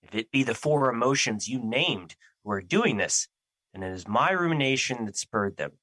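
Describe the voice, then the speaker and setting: A man's voice: mid-range voice, lively intonation, moderate pace, bright, forceful tone, dry recording, poor audio. A man acting in an audio drama as a grave, brooding personified emotion who guards life, speaking in formal theatrical diction. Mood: alarmed, resolute.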